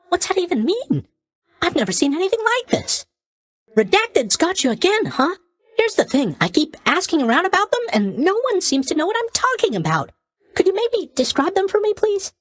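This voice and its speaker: A man's voice: very high-pitched, fairly bright tone, full character voice. A man playing a sleepy, flippant young god of sleep in a video game, with a chirpy, scatterbrained, cheerfully tactless delivery. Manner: chirpy, scatterbrained, mock-sympathetic delivery with stammers and trailing questions. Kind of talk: video game character voice acting.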